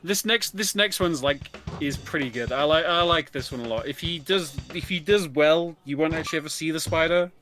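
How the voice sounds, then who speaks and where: A man's voice: fairly high pitch, brisk, few pauses. A man co-commentating on a speedrun in a casual, explanatory, somewhat hesitant way. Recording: loud game music behind. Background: video game music.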